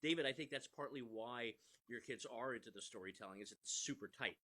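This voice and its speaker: A man's voice: medium pitch, brisk, pushed and bright. A man explaining things as an interview guest, repeating phrases for emphasis. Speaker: podcast creator, interview guest. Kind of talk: conversational interview.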